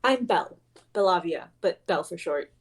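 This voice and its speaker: A woman's voice: fairly low pitch, animated, moderate pace, slightly rough. A woman playing a playful, teasing young woman in a casual dramatic reading. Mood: friendly. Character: playful, teasing young woman named Bellavia sharing a ride.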